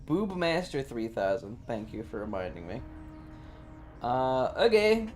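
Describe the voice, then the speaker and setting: A man's voice: mid-range voice, very animated, measured pace. A man livestreaming a video game with casual, irreverent, playful joking commentary and swearing. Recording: game music behind. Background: video game music.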